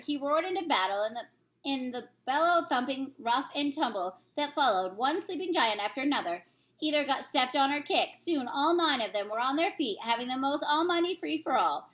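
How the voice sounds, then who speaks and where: A woman reading a children's story aloud in expressive narration. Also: high-pitched, fairly quick. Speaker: reader, teacher.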